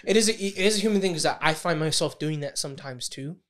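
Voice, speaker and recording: A man co-hosting a podcast, telling a story in casual banter with a big voice, animated and profane, quick to snap back at the others. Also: fairly high pitch. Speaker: podcast co-host.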